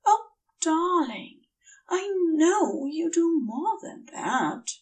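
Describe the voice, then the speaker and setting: A woman voicing a gentle, adoring male celestial being, earnest and tender in a story reading. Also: extremely high pitch, very animated, drawn-out words, far from the mic, voice pushed up, full character voice.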